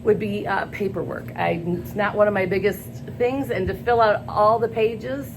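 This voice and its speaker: Gravelly older woman, earnest and halting. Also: medium pitch, steady tone. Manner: earnest, halting with many ums. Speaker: school staff job candidate. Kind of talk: job interview answers. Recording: noisy background.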